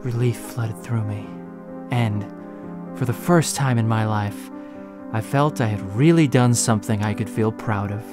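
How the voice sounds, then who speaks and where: A man's voice: fairly low pitch, measured pace, over music, natural voice. A man acting a young slum hero who tells his own story in an audio drama, earnest and emotional. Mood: reflective, earnest. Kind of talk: first-person audio drama narration with acted dialogue.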